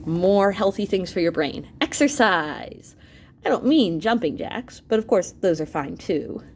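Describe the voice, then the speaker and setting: A woman's voice: mid-range voice, a little slow, a little hiss or hum. A woman reading an educational book aloud as a teacher to students, expressively, speaking directly to her listeners with asides. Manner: animated, with asides and exclamations to listeners.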